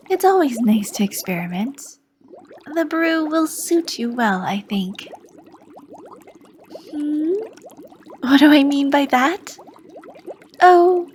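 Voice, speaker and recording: A woman playing a charming, sly potion shopkeeper, talking slowly and softly with a teasing edge and dipping into whispers. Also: a little high, slight background noise. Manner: slow, soft-spoken, teasing, drifting into whispers. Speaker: ASMR roleplay performer. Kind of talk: ASMR roleplay.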